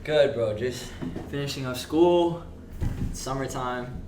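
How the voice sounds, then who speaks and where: A man's voice: mid-range voice, takes it slowly. Slangy young man, distant roomy noisy recording. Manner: slangy, says bro often. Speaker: podcast guest, college soccer player. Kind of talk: casual chat. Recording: roomy, distant mic, loud background noise.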